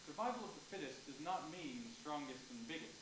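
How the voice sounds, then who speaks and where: A woman's voice: low-pitched, lively intonation, fast talker. A woman in a staged play reading, playing an earnest professor keen to explain ideas. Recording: very echoey room with clear noise.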